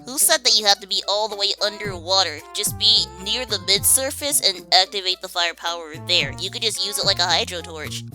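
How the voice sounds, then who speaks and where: A woman with a mid-range voice, talking casually in a group discussion. Music is clearly audible behind her as she gives her take. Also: mid-range voice, fairly quick, few pauses. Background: background music.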